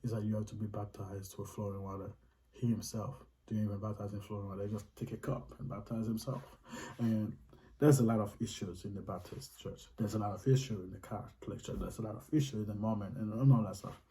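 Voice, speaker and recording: A man's voice: fairly low pitch, fairly even intonation, brisk, dark tone, low-quality recording. A man with a Haitian accent, earnestly explaining his faith in casual talk, restating his points for emphasis.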